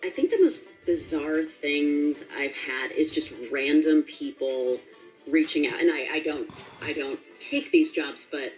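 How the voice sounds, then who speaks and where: A woman's voice: low-pitched, unhurried, soft background music, rough recording. A woman voice actor talking casually in an interview.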